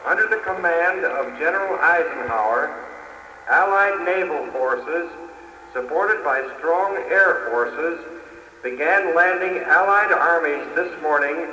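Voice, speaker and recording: An actor playing a solemn factory announcer, declaiming formally over a public address system in 1940s radio drama style. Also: male voice, fairly high pitch, slow pace, heavily acted. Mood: solemn, urgent. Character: solemn factory announcer reading war news to workers. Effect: public address loudspeaker. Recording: echoing loudspeaker, noisy old radio.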